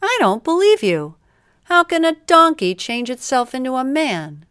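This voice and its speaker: A woman's voice: sits high, sing-song, a little slow, fairly bright tone, clean tone, no room sound, studio quality, pitched above the performer's own voice, full character voice. A woman voicing a proud, foolish man in a storybook tale, with a pompous, exclaiming manner. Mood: indignant surprise. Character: proud, foolish male donkey owner, indignant and self-important.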